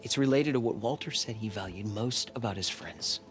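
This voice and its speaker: Actor as anxious man, lowish voice, hesitant, regretful, video game cutscene, faint music. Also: moderate pace, natural voice. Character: anxious, regretful cult follower, a former scout man.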